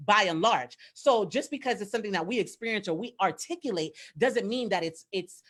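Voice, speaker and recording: A woman speaks as a panelist in an online discussion. Her delivery is earnest and emphatic as she works through her points, and her pitch sits in a middle range. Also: animated, brisk, a bit bright. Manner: earnest, emphatic, reflective with occasional laughs. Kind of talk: panel discussion, impassioned commentary.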